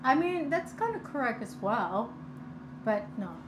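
A young Indonesian woman with a light Indonesian accent and a fairly high voice, co-hosting a cooking stream in giggly, animated chat. She talks from a home kitchen into a desk mic, with a steady noise behind her. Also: measured pace. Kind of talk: casual chat.

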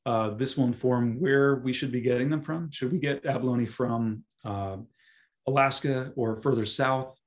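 Hoarse man giving a halting talk. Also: medium pitch. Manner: halting, many ums and restarts. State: hoarse, losing his voice. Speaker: guest speaker, scientist. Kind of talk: webinar presentation.

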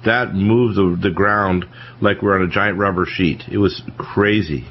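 A man's voice: sits low, unhurried. A man hosting a radio panel and giving technical explanations in conversation. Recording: dull lo-fi radio audio, faint noise.

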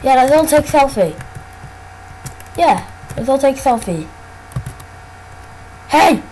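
Slow-talking excitable woman gamer. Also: high-pitched, a little hiss or hum, poor audio. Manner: excitable, exclaims often. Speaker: gameplay commentator. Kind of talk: casual game commentary.

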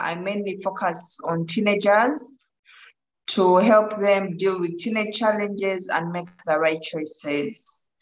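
A woman with a Ugandan accent talks casually as an interview guest. She speaks at a slow pace, heard over a video call with clear room echo and a slightly dull sound. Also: medium pitch, slight background noise. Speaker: life coach, interview guest. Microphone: video call device.

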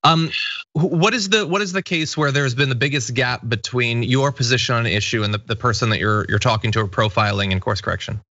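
Casting: American male host, thirties, crisp forceful delivery, mid pitch, close dry studio sound. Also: brisk, dry recording, muffled recording. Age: 30s. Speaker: show host. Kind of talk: casual interview hosting.

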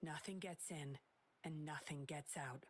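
An actress playing a cool, secretive young female agent in a video game, breathy, measured and self-assured. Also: deep voice. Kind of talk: scripted game dialogue. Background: game music.

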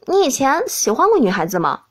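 A woman playing a feisty, excitable young princess who is easily flustered, in an audiobook. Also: high voice, fairly quick, breathy edge, heavily acted. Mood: smug. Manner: excitable, indignant. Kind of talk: audiobook character voice.

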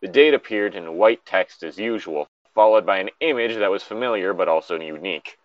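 A man's voice: low voice, brisk, no room sound. A man reading a story aloud and bantering casually about it as he goes. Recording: dull lo-fi microphone.

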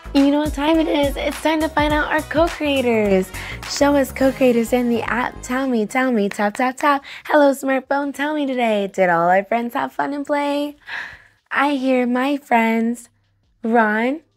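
Expressive young woman reading to kids. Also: fairly high pitch. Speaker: children's read-aloud host. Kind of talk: expressive storybook narration for children. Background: soft music.

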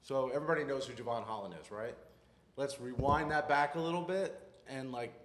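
A man's voice: medium pitch, fairly quick, fairly bright tone. A man hosting a casual sports interview with informal, hesitant phrasing. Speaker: podcast host, interviewer. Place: indoor lounge podcast set. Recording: echoey, reverberant room.

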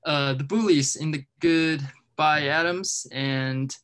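Man, twenties, crisp clear voice, self-introduction. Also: fairly high pitch, takes it slowly, a bit bright. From Beijing, China. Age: 20s. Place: bedroom, video call.

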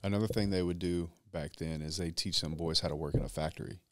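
A man, a business owner talking as a podcast guest, measured and drawn out, louder when he presses a point. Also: sits low, steady tone, no echo, clean studio sound. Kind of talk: casual conversation. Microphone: boom mic on stand.

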